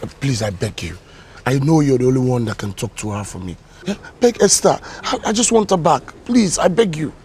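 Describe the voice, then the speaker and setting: An actor playing a remorseful young man with a husky voice, pleading to get his ex back. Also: mid-range voice, sing-song, a little fast, a little mellow, slight background noise, natural voice.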